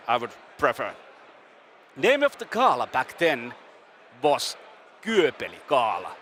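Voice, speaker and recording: Male stream host, Finnish accent, upbeat and playful, mid-pitched. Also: fairly bright tone, slight background noise. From Finland. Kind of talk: live event stream hosting. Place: desk upstairs at a restaurant venue.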